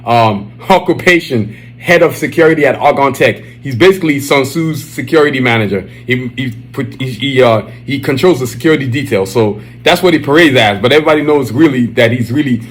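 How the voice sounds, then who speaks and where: A man with a Nigerian accent hosting his own podcast, talking loosely and excitedly with lots of slang fillers. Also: medium pitch, a little fast, few pauses. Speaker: podcast host. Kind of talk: casual solo podcast chat. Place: Dallas, Texas area.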